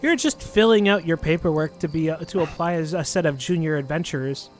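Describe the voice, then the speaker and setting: A man voicing a brisk, motherly female barkeep who talks down to the adventurers, calling them sweetie and little one. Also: on the high side, lightly acted. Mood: patronizing. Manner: patronizing, calls people sweetie and little one.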